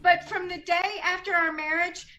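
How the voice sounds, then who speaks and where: A woman's voice: very high voice, fairly even intonation, normal speed, pushed and bright. An American woman playing a naive, frightened young newlywed in an old-time radio comedy, with girlish pleading. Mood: fearful, pleading.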